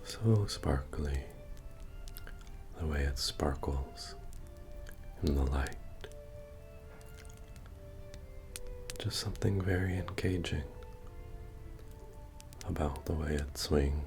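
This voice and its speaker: A man playing a friendly, humble medieval fantasy innkeeper in a soft-spoken, deep-voiced, understated ASMR roleplay. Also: slow, pauses often, exaggerated voice. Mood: hypnotic, hushed. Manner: hesitant, rambling, understated delivery. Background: bard music.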